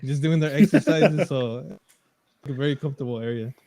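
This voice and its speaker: A man's voice: medium pitch, a little fast, mellow, dry recording. Laid-back man rambling, dry close sound. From Central Valley, California. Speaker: podcast co-host. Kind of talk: casual podcast chat. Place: home, LA area.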